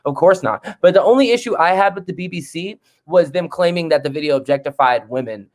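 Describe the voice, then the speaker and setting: Male guest artist, fairly high voice, blunt and profane, animated. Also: brisk. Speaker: guest musician. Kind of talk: casual podcast chat.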